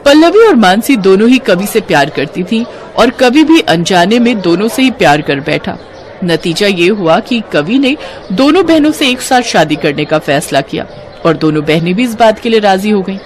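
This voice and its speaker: A woman narrating a scripted story as an expressive storyteller. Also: mid-range voice, moderate pace.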